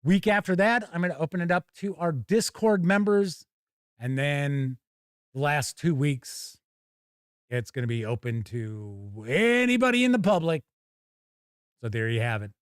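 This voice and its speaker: A man with an American accent and a fairly high-set voice hosts a casual live stream. He chats colloquially, leaning on his words for emphasis. The sound is close and dry, with very little room in it. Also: animated, a little slow, clean tone, no room sound. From United States. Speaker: YouTube live stream host.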